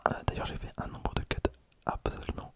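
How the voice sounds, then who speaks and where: A man in his late twenties whispering ASMR commentary softly and unhurriedly, close to the microphone. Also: fairly quick. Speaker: ASMR video creator opening trading cards. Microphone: close handheld stereo recorder.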